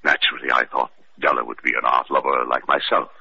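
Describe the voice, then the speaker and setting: An actor playing a hesitant, suspicious wealthy husband and art lover, stammering through an old radio detective drama. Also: male voice, a bass voice, flat delivery, vocal fry, sharp tone, slight background noise, heavily acted. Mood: wistful. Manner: halting, stammering with uh and well. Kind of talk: 1940s-50s radio detective drama acting. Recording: dull old radio recording.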